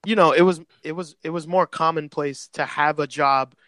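Casting: laid-back man, native Spanish speaker, bright and fairly high voice, dry close sound, rambling slangy storyteller. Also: fairly even intonation, moderate pace, no room sound. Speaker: podcast guest. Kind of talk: casual chat.

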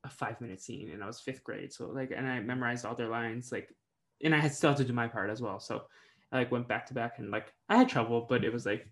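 A man's voice: mid-range voice, fast talker, a bit bright. A young man co-hosting a podcast in casual chat. He talks hesitantly with many fillers and trails off. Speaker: podcast co-host.

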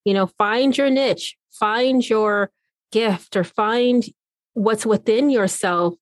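A woman hosting a podcast, speaking in an earnest, encouraging way in drawn-out phrases. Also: on the high side, dry recording, studio quality. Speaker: podcast host. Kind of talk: casual interview chat.

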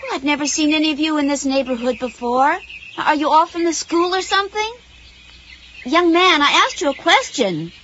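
High, animated flustered woman. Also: moderate pace, dull audio, slight background noise. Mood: indignant, puzzled. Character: flustered suburban woman, scolding but soft-hearted. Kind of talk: naturalistic audio drama acting.

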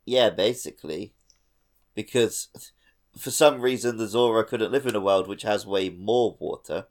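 A man's voice: fairly low pitch, moderate pace, darkish tone. A man co-hosting a gaming podcast, chatting casually and going off on tangents. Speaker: podcast co-host.

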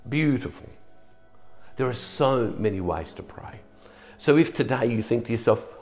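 A man with an Australian accent and a croaky voice, giving a gentle, earnest devotional talk and prayer. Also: on the low side. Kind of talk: short devotional reflection and prayer.

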